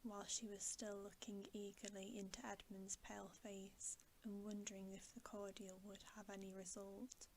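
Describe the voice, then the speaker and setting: A woman reading a bedtime story in ASMR style, soft, breathy and often whispering, in a hushed, soothing manner. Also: medium pitch, flat delivery, normal speed, few pauses, noisy background. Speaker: ASMR host reading aloud.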